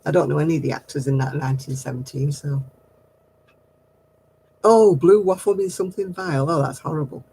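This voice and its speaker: A man's voice: fairly high pitch, fairly quick, gravelly, long pauses, mellow, slight background noise, rough recording. A middle-aged man, hosting a casual craft livestream and chatting with viewers in a giggly, rambling way. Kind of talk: casual chat answering viewer comments.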